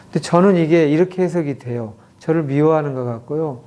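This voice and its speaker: A man's voice: fairly high pitch, warm tone. A middle-aged man, a clinic director, lecturing an audience on communication and acting out example quotes as he explains. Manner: explains slowly with many acted example quotes.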